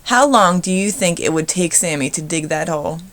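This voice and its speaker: A woman voicing a calm, encouraging father in a storybook, patient and gently questioning. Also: on the low side, normal speed. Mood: gently amused.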